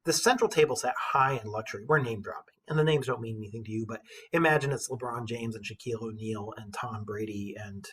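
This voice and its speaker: Husky teacher reading an old poem aloud. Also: mid-range voice, rough recording. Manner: dramatic storytelling emphasis in the reading, informal asides. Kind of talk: expressive poem read-aloud with casual commentary.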